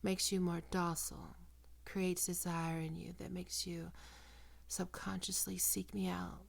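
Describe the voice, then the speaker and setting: A woman playing a possessive, predatory female vampire in an ASMR roleplay, coaxing and cooing in a breathy voice that often drops into whispers. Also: fairly low pitch, level tone. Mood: seductive, coaxing. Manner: slow, cooing, condescending endearments.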